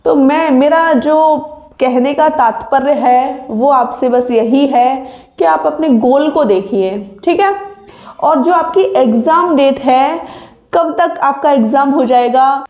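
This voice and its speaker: A woman's voice: high voice, slow, darkish tone. A young woman giving informal exam advice to viewers, explaining like a coach and often checking that they follow. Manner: didactic, frequent checking tag questions. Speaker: exam-preparation coach and video host. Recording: echoey untreated room.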